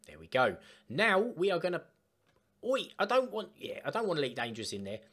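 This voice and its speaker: A man chatting casually through a step-by-step software tutorial for gamers. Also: on the high side, wildly swooping pitch, a little fast. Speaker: gaming tutorial host. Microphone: desktop microphone, voice-over of screen capture.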